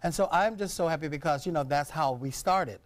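Middle aged guy, theatre director on a tv talk show, voice on the higher side. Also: brisk. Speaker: theatre director, studio guest. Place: television studio. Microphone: lapel mic.

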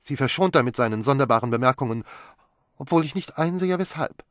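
A man voicing a flippant, restless young woman who talks with quick, mocking sharpness in an audiobook. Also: mid-range voice, lively intonation, dry recording, studio quality, voice pushed up, lightly acted. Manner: teasing, quick and sharp-tongued. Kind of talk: audiobook character voice.

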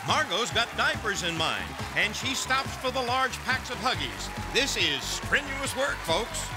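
Man, American accent, game show announcer, high excited voice, punchy playful play-by-play, loud music underneath. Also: unhurried, poor audio.

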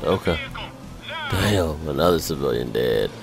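A man's voice: a bass voice, lively intonation, a little slow, over music. A tired man giving casual live commentary on a game, slangy and sweary, muttering to himself and his chat. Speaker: video game streamer.

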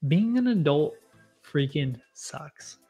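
Young male livestream host, rambling and self-deprecating, close boom mic, faint music underneath. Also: expressive, slow pace, dark tone, husky. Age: 20s. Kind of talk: casual live chat with viewers. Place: home bar with bottle shelves, indoors. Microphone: boom mic in front of face.

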